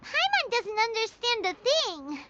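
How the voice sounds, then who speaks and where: A woman voicing a tiny childlike fairy companion, chirpy and fussy, in an anime video game, with a squeaky voice. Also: big pitch swings, measured pace, full character voice. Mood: curious, chatty. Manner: exclamatory, speaks in third person. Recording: muffled game audio with loud noise.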